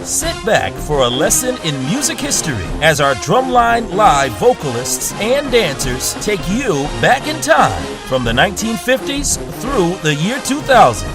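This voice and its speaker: Casting: high, lively male promo announcer over loud music. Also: a little slow, few pauses. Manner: showy, announcer-like. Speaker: promo narrator.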